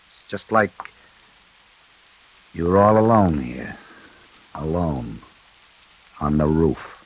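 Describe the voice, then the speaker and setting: An actor playing a quiet, menacing night elevator man in an old-time radio suspense drama, speaking slowly and insinuatingly. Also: extremely low pitch, expressive, breathy edge, full character voice, poor audio. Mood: quietly menacing. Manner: slow, insinuating.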